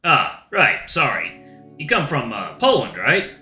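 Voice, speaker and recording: Man reading a story in a bright voice. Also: medium pitch, wildly swooping pitch, measured pace. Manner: reflective, subdued reading. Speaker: let's-play narrator. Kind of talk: first-person visual novel narration. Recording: roomy echo with faint guitar music.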